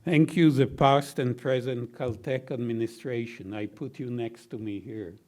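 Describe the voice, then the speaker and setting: An older man with a baritone voice giving heartfelt closing thank-yous at a university reception, measured and gently humorous. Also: fairly even intonation. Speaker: honoree giving closing remarks. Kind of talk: heartfelt formal thank-you speech.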